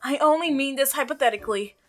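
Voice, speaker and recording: A woman's voice: high voice, fast, soft background music, close to an everyday voice. A woman voicing a jittery male milkman devoted to his sick wife, who is defensive, strained and easily offended.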